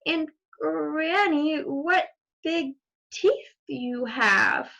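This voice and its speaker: A woman's voice: high voice, drawn-out words, vocal fry, fairly bright tone, dull audio, voice pushed up, exaggerated voice. A woman voicing a sweet, naive, polite little girl in a storybook, earnest and wide-eyed. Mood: wondering, uneasy. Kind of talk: storybook character voice.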